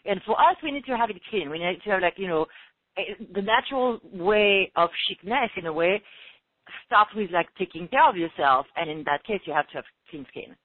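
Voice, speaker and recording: French woman in her forties, lively. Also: medium pitch, fairly quick, no echo. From France. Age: 40s. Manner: animated, many fillers like "you know". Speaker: guest. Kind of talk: casual chat. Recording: tinny phone-like line.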